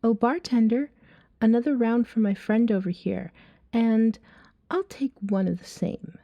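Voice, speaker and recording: A woman playing a flirty, self-assured female vampire in an ASMR roleplay, teasing a stranger at a bar in a soft-spoken, breathy way. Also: on the high side, measured pace, no echo, lo-fi sound. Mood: teasing, persuasive. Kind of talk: ASMR roleplay, acted one-sided dialogue.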